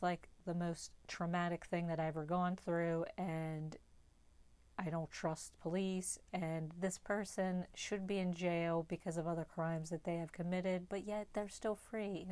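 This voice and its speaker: Young woman, pittsburgh accent, low smooth voice, just chatting candidly through a question list. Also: steady tone, measured pace, darkish tone, dry recording. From West Virginia. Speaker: vlogger. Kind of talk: casual tag video answering questions.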